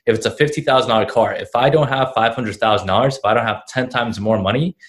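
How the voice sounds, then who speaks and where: A man's voice: medium pitch, quick pace, a bit bright. A nineteen-year-old man from Russia, answering interview questions casually, rambling with lots of fillers like "you know" and "right?". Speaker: guest entrepreneur.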